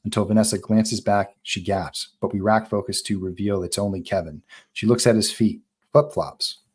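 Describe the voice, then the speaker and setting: A man's voice: fairly low pitch, steady tone, moderate pace, slightly rough. A man narrating stage directions from a film script at a live table read.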